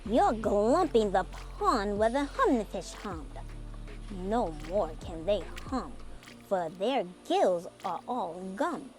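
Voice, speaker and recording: Casting: female reader playing little male woodland guardian for a picture-book tale, indignant, plaintive, very swoopy intonation, slow pacing. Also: mid-range voice, very animated, darkish tone, faint music behind. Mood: mournful. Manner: scolding, plaintive, deliberate. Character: small indignant male forest creature, scolding and mournful. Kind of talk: children's storybook read-aloud.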